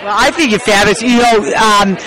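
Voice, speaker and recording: A woman's voice: medium pitch, brisk, poor audio. A middle-aged woman interviewing an actor on a red carpet, gushing and chatty, speaking into a handheld mic. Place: outdoor red carpet at a film festival event. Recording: crowd noise behind at an outdoor event. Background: crowd chatter.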